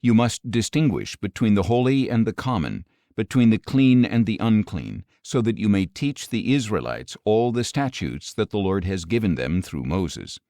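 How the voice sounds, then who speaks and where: Low-pitched man reading scripture, dry sound. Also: big pitch swings, normal speed, few pauses, dark tone, no room sound. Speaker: audiobook narrator of scripture. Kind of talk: scripted Bible reading, measured narration.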